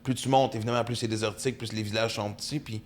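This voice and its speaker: A man's voice: on the low side, steady tone, fast talker. A man with a Québécois accent, co-hosting a podcast and telling stories in a chatty, casual way. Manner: chatty storyteller, lots of "tu sais" fillers. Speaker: podcast co-host. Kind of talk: casual chat.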